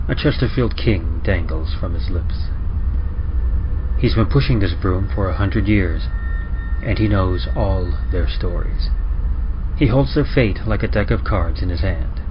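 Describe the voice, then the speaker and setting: A man reading dramatic scripted horror narration in a measured, deliberate way. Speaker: host and story reader. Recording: muffled sound, loud rumbling train-like noise.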